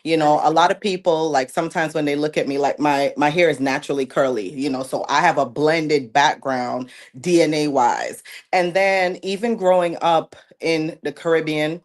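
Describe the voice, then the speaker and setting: A woman from Jamaica with a low voice and a Jamaican accent that slips through now and then. She answers interview questions in a thoughtful, agreeable way. She sounds like she is in her forties. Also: moderate pace, hardly any pauses. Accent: Jamaican, coming through now and then. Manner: warm, reflective, often says "absolutely" and "thank you". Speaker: guest, plus-size model. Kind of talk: casual interview chat.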